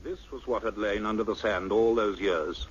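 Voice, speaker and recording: An actor playing an earnest, intense male scientist in a radio play, with deliberate, dramatic phrasing. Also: on the low side, a little hiss or hum, lightly acted. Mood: awed, tense narration. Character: earnest male scientist, doctor, excited yet grave and cautious. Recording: dull lo-fi radio recording, faint music.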